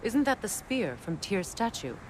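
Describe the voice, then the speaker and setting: A woman portrays a wise, mature sorceress for a video game. She sounds composed and knowing, with a dry teasing wit and a middling pitch, under loud background ambience. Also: very animated, normal speed, noisy background, lightly acted. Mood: thoughtful. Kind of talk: dramatic video game acting.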